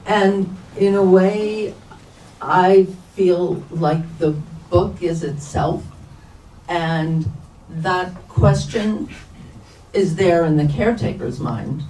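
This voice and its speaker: Older woman author, extremely slow halting speech, searching for words, echoing room, slightly distant mic. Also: fairly low pitch, mellow. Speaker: author at her book event. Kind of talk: unscripted question-and-answer talk. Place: bookstore event space. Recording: roomy, distant mic, faint noise.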